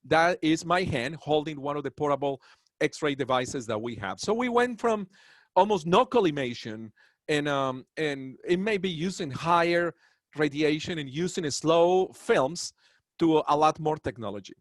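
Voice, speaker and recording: A man's voice: on the high side, animated, moderate pace, no room sound, studio quality. A man from Colombia with a Colombian Spanish accent giving a webinar lecture on dentistry, earnest and proud, with rambling asides. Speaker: dental professor.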